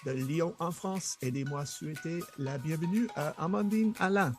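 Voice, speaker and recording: Gravelly, higher-voiced man hosting a podcast. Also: moderate pace, soft background music. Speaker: podcast host. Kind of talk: casual bilingual podcast interview.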